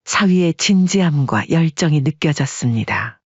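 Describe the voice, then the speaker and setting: A woman narrating a family story in an even, storytelling delivery. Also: deep voice, moderate pace, husky, no echo, dull audio.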